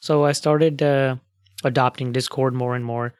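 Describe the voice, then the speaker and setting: A man's voice: medium pitch, fairly even intonation, moderate pace, darkish tone, clear, resonant tone, no echo. A man hosting a podcast, chatting casually and explaining things with asides and fillers. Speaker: podcast host.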